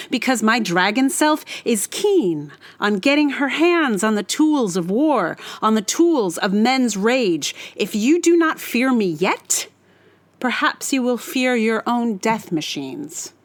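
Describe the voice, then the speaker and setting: A woman performing a scripted dramatic monologue in a deliberate, theatrical and menacing manner. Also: a little high. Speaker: writer reading own monologue.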